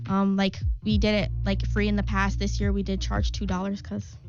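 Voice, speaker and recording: A woman's voice: mid-range voice, completely flat delivery, moderate pace, dull audio. A young woman from Long Island, a student club president, answering interview questions in a chatty, enthusiastic way with many fillers. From Long Island, New York. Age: young adult.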